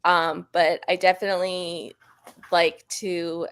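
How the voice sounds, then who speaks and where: A woman's voice: on the low side, flat delivery, slow, a bit bright, clean tone. A woman guest author answering in a casual interview, modest and a little hesitant. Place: live online video chat.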